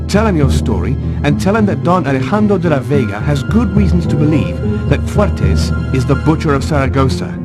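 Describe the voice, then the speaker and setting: Gallant masked hero, lively swingy voice, wry and sure of himself, music behind. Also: male voice, fairly high pitch, fairly quick, hardly any pauses. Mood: commanding. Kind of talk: adventure game cutscene acting.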